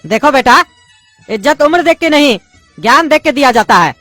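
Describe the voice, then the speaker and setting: A voice actor doing a high-voiced, cranky schoolmaster. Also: very animated, moderate pace, pushed and bright, soft background music, exaggerated voice. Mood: scolding. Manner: scolding, exasperated. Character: irritable male schoolmaster teaching English. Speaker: teacher.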